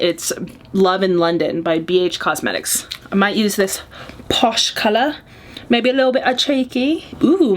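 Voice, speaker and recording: A young woman in her twenties talks casually to camera while she does her makeup. She is chatty and candid and drops in jokey asides. Her pitch sits in a middle range. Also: a little hiss or hum. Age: 20s. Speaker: beauty vlogger. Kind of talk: casual get-ready-with-me chat.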